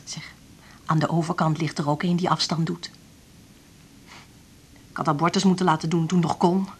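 Sullen, husky-voiced patient. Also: female voice, sits low, steady tone, moderate pace. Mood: restless, irritable. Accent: Dutch (Netherlands). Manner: blunt, sarcastic, grumbling. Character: sullen, blunt pregnant patient, impatient and sardonic. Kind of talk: naturalistic 1980s radio drama acting.

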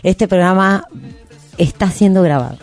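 A woman's voice: on the low side, normal speed, a little mellow. A woman with an Argentine Rioplatense accent hosting a radio show in a casual, rambling, playful chat. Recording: background music. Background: music.

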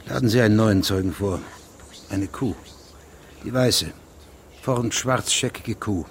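A man's voice: lowish voice, unhurried. Over a noisy background, an investigating judge in a radio play. He puts his questions formally and precisely, with methodical persistence and careful, official phrasing. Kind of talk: German radio drama acting.

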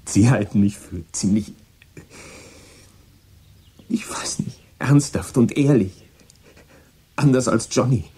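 An actor playing a troubled, guilt-ridden American boy of sixteen in a German radio drama, speaking haltingly and repeating words in agitation. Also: medium pitch, mellow, husky. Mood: subdued, weary.

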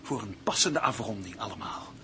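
A cold, calculating male villain in a radio drama. His delivery swings widely in pitch, theatrical and deliberate, taunting with mock sweetness. The recording is old and slightly noisy. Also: lively intonation, bright, forceful tone. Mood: gloating menace. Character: cold, calculating man gloatingly tormenting his partner. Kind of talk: Dutch radio play acting.

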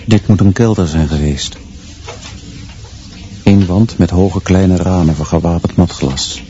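Deep, slow, brooding teacher-narrator. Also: male voice, dark tone, lo-fi sound, a little hiss or hum. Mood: brooding, measured. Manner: measured, terse, observant. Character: brooding male teacher narrating his private thoughts. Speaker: actor narrating in a radio play. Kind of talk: literary first-person inner monologue.

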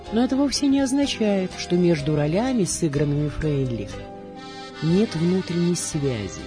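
Woman narrating over clear background music. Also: lowish voice. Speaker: radio programme presenter. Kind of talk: scripted documentary narration about theatre. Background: music under the voice.

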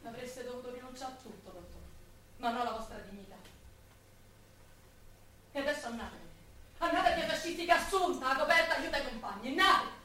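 A woman's voice: sits high, lively intonation, fast talker, pauses often, pushed and bright. Actress as blunt Roman woman, very echoey. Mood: scornful. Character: proud, blunt working-class Roman woman of the 1940s. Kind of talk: live radio theatre acting. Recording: very echoey hall, distant mic, faint noise.

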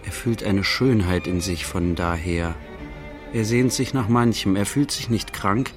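An actor playing a sober narrator in a literary radio play, speaking in short, measured declarative phrases. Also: male voice, lowish voice, soft background music, lightly acted. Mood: lyrical observing. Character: sober male narrator observing an artist closely.

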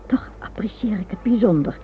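A woman's voice: mid-range voice, animated, moderate pace, in a whisper, close to an everyday voice. An actress playing a bereaved, gracious English lady in a radio drama, formal and polite. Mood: subdued, grateful. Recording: old narrow-band radio recording.

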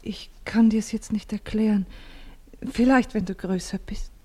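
An actress playing a tired, gentle, fearful wife in a 1950s German radio play, motherly and often hushed, with a breathy voice. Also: medium pitch, fairly even intonation, unhurried.

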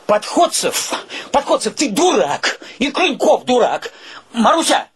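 An actor playing an earnest, excitable friend in a radio play, courteous yet bluntly emphatic. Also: male voice, very high-pitched, a little slow, heavily acted. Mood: indignant, pleading. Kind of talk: theatrical radio play acting.

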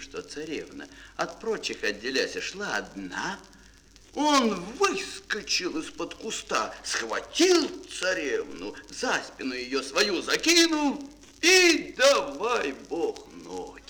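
Highly animated, high-voiced male storyteller. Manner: dramatic, declamatory, lingering on key words. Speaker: storyteller, narrator. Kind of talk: theatrical fairy-tale narration for children. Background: music.

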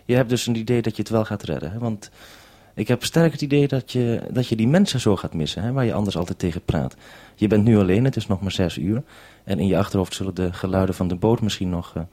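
Low-voiced male radio interviewer. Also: quick pace, dark tone. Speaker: radio presenter. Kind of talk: unscripted live radio interview.

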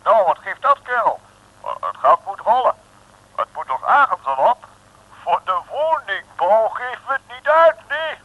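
An actor playing a breezy, pompous, chummy police chief over the telephone in an old comic radio serial. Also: male voice, high voice, big pitch swings, measured pace. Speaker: actor playing a superior officer. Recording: muffled old radio recording.

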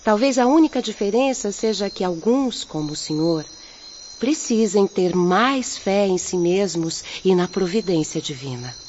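Actress as a gentle young Brazilian peasant woman, muffled recording. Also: medium pitch, lively intonation, natural voice. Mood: earnest, consoling. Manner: earnest, consoling, measured. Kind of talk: Portuguese-language radio drama acting. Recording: muffled lo-fi audio, night insects, noise.

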